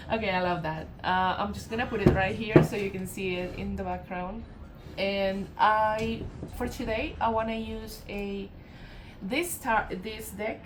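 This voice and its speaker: A Colombian-accented woman talks through a spiritual tarot reading in a loose, wandering way, stopping often with fillers and correcting herself. Her voice sits at an ordinary middle pitch for a woman. A steady background noise runs clearly under the home recording. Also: measured pace. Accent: Colombian Spanish. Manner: meandering, many fillers and self-corrections, soft laughs. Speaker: tarot reader. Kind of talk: casual spiritual channeled reading.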